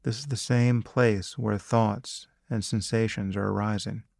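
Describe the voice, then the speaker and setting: Male meditation guide, lowish gravelly voice, calm and measured, lots of space between phrases, close dry sound. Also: dry recording. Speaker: meditation teacher. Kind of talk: guided meditation, spoken instruction.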